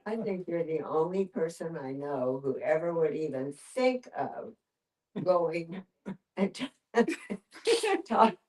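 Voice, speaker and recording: Slow, low-voiced woman, off mic. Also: expressive, a little mellow, recorded from a distance, poor audio. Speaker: discussion group participant. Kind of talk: casual group chat.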